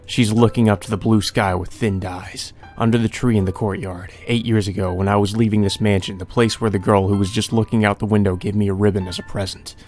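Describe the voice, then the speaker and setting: A man's voice: fairly low pitch, fairly even intonation, fairly quick, hardly any pauses, a little mellow. A man reading a visual novel aloud as a let's player, with casual commentary. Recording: music under the voice.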